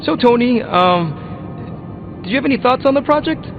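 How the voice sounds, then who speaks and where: A man playing an eager, awkward young hero in a video game, hesitant and excitable. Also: very high-pitched, normal speed, vocal fry, full character voice. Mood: hesitant, curious. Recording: muffled narrow-band audio under loud music.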